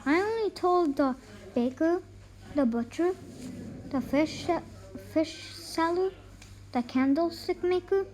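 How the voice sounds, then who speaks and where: A woman's voice: extremely high pitch, animated, slow pace, long pauses, a little mellow, slight background noise, heavily acted, rough recording. A woman voicing a talkative, gullible male woodcutter in a storybook read-aloud. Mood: carefree.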